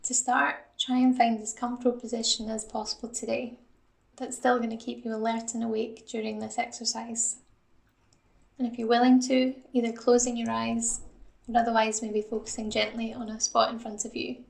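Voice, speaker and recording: Creaky, even-toned woman guiding meditation. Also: on the high side, moderate pace, echoey room. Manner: calm, gentle, instructional. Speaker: assistant psychologist guiding a meditation. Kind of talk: guided mindfulness body scan.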